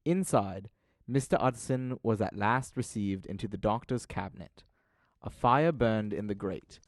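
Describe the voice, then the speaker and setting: A man's voice: lowish voice, normal speed, no echo, clean studio sound. A man narrating an audio drama as a scripted story, with a formal, literary delivery.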